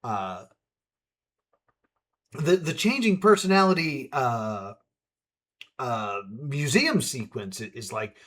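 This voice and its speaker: A man hosting a stream with casual commentary, halting as he thinks aloud. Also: mid-range voice, very animated, fairly bright tone. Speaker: streamer, host.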